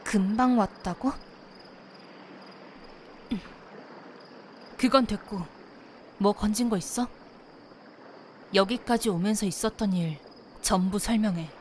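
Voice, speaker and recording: Woman acting demanding young woman, mid pitch, curt, blunt, visual-novel dialogue, faint cricket ambience. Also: takes it slowly, pauses often, a little mellow, slight background noise, close to an everyday voice. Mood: curt, suspicious. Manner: blunt, terse. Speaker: supporting character in a visual novel. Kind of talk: acted dialogue. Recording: faint night insect ambience behind voice.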